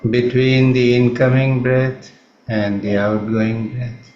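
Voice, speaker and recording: A man with an Indian accent leads a guided meditation in a calm, measured manner, leaving long pauses between phrases. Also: medium pitch. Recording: roomy, slightly muffled, faint background noise.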